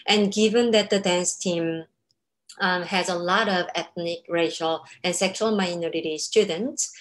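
A woman in her thirties, a native Korean speaker with a Korean accent, giving a measured academic webinar lecture over a video call, with frequent ums. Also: lowish voice, poor audio. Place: home room. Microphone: laptop webcam on a video call.

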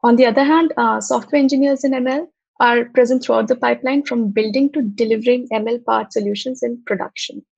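Gravelly woman explaining tech on a call. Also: on the high side, fairly even intonation, a little mellow, no room sound. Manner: measured, explanatory, frequent uh fillers. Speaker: software engineer presenting. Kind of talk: technical conference talk. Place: home room, on video call. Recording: slightly muffled, dry video call audio.

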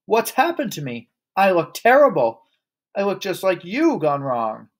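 A man voicing a hot-tempered middle-aged father in a storybook, yelling indignantly. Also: fairly high pitch, very animated, fairly quick, pitched above the performer's own voice. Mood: angry panic.

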